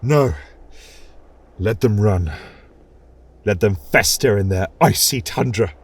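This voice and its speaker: A man's voice: fairly low pitch, takes it slowly. A man voicing a defiant warrior king, stern and commanding. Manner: commanding, resolute.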